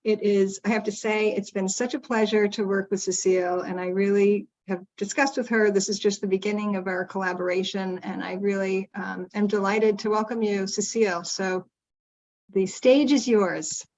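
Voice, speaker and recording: A woman opens an online seminar by introducing the guest speaker. She sounds warm and admiring and uses a few "um" fillers. The audio is slightly dull and lo-fi, as heard over a video call. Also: mid-range voice, fairly even intonation, normal speed.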